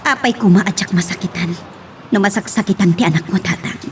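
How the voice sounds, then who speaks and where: A woman's voice: medium pitch, fairly quick, slight background noise, natural voice. A woman with an Ilocano accent playing a headstrong grown daughter in an amateur audio drama, emotive and insistent. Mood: upset, firm. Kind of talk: amateur Ilocano radio-style drama acting.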